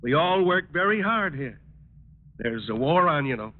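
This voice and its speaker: An actor playing an evasive, outwardly obliging war plant owner in a 1940s radio drama, polite and hedging. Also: male voice, on the high side, animated, moderate pace, lightly acted. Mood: evasive, firm. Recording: tinny, noisy old radio recording.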